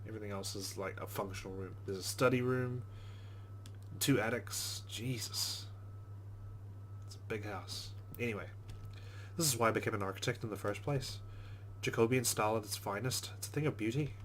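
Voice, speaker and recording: A man's voice: on the low side, moderate pace, long pauses, a bit bright. A man hosting a let's play, giving casual game commentary and reading the game's text aloud.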